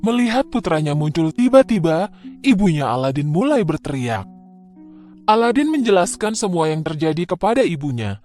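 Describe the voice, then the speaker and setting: A man narrating a scripted children's fairy tale in an expressive, dramatic way. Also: fairly high pitch, normal speed. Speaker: storyteller. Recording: music behind the voice.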